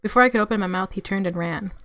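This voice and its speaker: A woman reading a visual novel aloud as expressive story narration, mixed with casual asides. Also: mid-range voice, racing, no echo.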